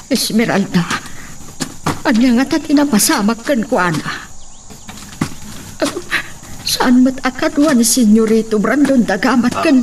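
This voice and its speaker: A woman playing a nervous, questioning figure in a radio-style audio drama. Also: fairly high pitch. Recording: clearly noisy with clicks.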